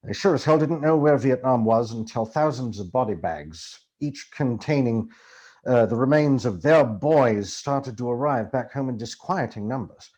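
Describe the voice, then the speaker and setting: A man reading a book aloud to camera in a theatrical, expressive way, like an amateur voice actor. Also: medium pitch, moderate pace.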